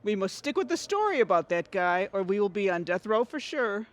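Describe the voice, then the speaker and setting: A woman's voice: medium pitch, big pitch swings, fairly quick, no room sound, close to an everyday voice. A woman playing a panicky, accusing housewife in an audio theatre comedy, exasperated and dramatic. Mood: panicked. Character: panicky, accusing housewife jailed after a bar fight.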